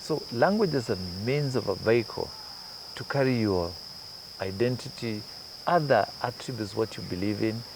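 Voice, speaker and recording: A man from Kenya talks through his ideas in an interview at a middle pitch, building each point with everyday examples. Faint insect chirping sits quietly behind his voice. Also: animated, normal speed, gravelly, background noise. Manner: thoughtful, explains with examples and asides. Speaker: guest journalist. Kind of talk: conversational interview.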